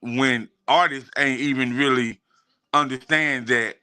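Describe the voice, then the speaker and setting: A man's voice: medium pitch, fairly even intonation, measured pace, pushed and bright, clean tone, no echo. A man hosting a casual interview, speaking in slang and repeating phrases for emphasis. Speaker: interview host.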